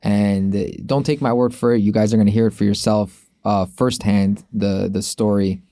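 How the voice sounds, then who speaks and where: Casual, low-voiced male podcast host, close-miked. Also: steady tone, normal speed, warm tone, no room sound. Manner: informal, rambling, repeats phrases. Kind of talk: casual sports podcast chat.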